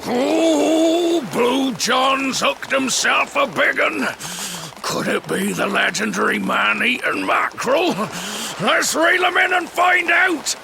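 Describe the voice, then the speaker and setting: An actor playing a gruff, kindly old fisherman with folksy, excitable speech that swings from loud to soft in a game. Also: very high voice, slow pace, noisy background, exaggerated voice. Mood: excited. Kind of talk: game voice acting.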